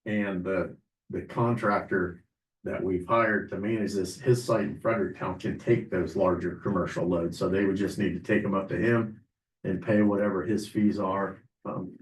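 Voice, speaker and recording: A man losing his voice, presenting agenda items at a public meeting in a businesslike way, clearing his throat often. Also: deep voice. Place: county meeting room. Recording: echoey meeting room, distant mic.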